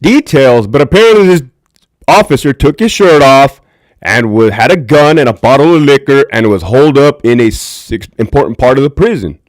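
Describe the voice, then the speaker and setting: A man with a deep voice hosting a candid livestream on a desk mic. His pitch swings widely as he talks, and the sound is dry and close. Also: expressive, moderate pace, no room sound. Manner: candid, profane, talks to chat viewers. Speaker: livestream host, former prison officer. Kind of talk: casual livestream commentary.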